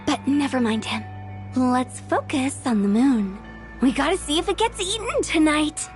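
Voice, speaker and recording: Brief: a mischievous young business owner; high, playful and slow, light game music. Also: female voice, expressive. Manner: playful, teasing, sing-song. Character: playful mischievous young woman, teasing employer. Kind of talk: video game voice acting.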